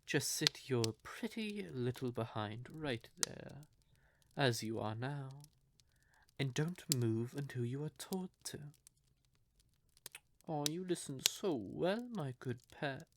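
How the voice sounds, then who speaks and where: A man voices a cold, possessive male vampire in a roleplay audio drama. He speaks slowly at a medium pitch, with theatrical, condescending menace toward a lover who betrayed him. Clear background noise sits in the recording. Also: expressive. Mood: smugly commanding. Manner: theatrical, slow, condescending, deliberate.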